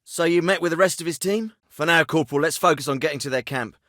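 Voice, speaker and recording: Miller, a clipped commanding officer. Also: male voice, on the high side, fast talker, sharp tone, dry recording. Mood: calm. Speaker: military officer. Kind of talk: video game voice acting. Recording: dry studio recording.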